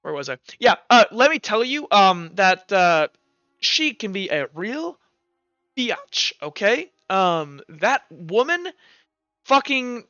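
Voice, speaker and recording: A man's voice: high voice, very animated, takes it slowly, pushed and bright, no room sound, dull audio, pitched above the performer's own voice, full character voice. A man voicing a blunt, hard-drinking male professor who swears and rambles with fillers. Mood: griping.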